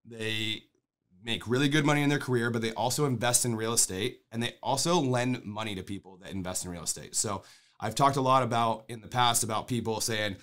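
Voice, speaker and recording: A man's voice: mid-range voice, a little fast, fairly bright tone. A man hosting a casual podcast, stuffed up with a cold, talking in an upbeat, rambling way with frequent restarts. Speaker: podcast host.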